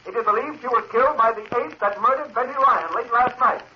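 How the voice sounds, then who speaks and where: An actor playing a grave, urgent news announcer heard through a radio set, in 1940s bulletin style. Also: male voice, very high-pitched, a little fast. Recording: muffled, hissy 1940s radio recording.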